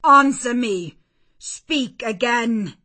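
A woman voicing a blind, brooding middle-aged gentleman in a story reading, with imperious and impassioned demands. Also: mid-range voice, slow pace. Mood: agitated, commanding.

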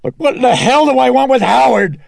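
A man acts out an anguished, angry mother in a dramatic audiobook. The voice is pushed up high, with a husky quaver and huge swings in pitch. It sounds tense and emotional. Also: very animated, mellow, no room sound, studio quality, heavily acted. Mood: angry, loud. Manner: emotional, haltingly tearful, erupting into shrieks. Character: distraught, despairing, furious mother of a troubled child.

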